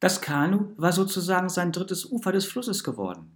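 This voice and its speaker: A man reading a literary, essayistic story as a narrator, in an expressive and deliberate manner. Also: sits high.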